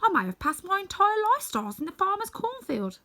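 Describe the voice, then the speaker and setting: A woman's voice: very high-pitched, sing-song, a little fast, pushed and bright, voice pushed up, exaggerated voice. A woman voicing a cheerful, simple-hearted male scarecrow in a children's storybook reading. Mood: grateful. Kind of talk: children's storybook read-aloud.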